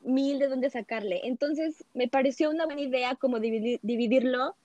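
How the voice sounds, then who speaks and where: Woman hosting a podcast, fairly high voice, lots of fillers, dry lo-fi sound. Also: normal speed, darkish tone, no room sound. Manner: chatty, with many fillers and restarts. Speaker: podcast host. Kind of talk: casual podcast chat.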